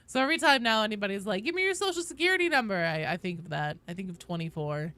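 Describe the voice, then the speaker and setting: Bright, animated woman streaming games. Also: mid-range voice, fast talker. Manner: playful, joking, sweary. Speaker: streamer hosting a game livestream with viewers. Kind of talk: casual livestream chat while gaming.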